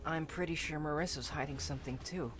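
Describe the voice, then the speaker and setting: A woman's voice: low voice, fast talker, natural voice. A woman voicing a timid, observant youngster in a visual novel, with a hesitant, earnest manner. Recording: prominent music behind the voice.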